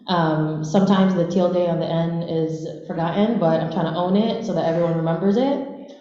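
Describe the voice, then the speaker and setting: A young woman from the Philippines, whose first language is Bisaya, shares her story in a casual way. Her voice is relaxed and on the low side, heard through heavy room echo. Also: moderate pace. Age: 20s. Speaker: panelist, dancer. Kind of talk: casual personal storytelling at a panel. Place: large echoey room at a live panel event. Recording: very echoey hall, slightly muffled.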